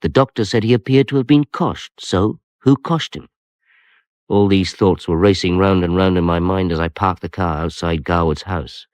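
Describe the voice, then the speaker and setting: A man's voice: sits low, moderate pace, a little mellow, no room sound, natural voice. A man playing a wry, self-doubting police detective, confiding in a radio crime drama. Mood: brooding, wry. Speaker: narrator and lead detective character. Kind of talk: radio crime drama, first-person narration and dialogue.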